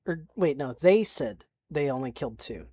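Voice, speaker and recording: A young woman chatting casually about her tabletop game character, halting with many restarts and little giggles. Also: a bass voice, expressive, a little mellow, husky, dry recording. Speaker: player recounting a tabletop campaign. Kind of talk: casual rambling chat.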